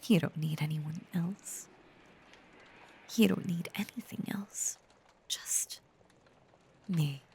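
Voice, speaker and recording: A woman playing a possessive, obsessively devoted female werewolf lover in an intimate roleplay audio, breathy and whispery, with lingering pauses. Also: fairly low pitch, mellow, a little hiss or hum. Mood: coaxing, possessive. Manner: slow, lingering, intimate delivery with long pauses.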